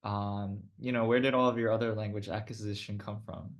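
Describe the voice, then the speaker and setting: An interviewer asking relaxed follow-up questions, often padding sentences with "like" and "I guess". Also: mid-range voice, a little fast, muffled recording. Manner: informal, many "like" and "I guess" fillers. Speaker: oral history interviewer.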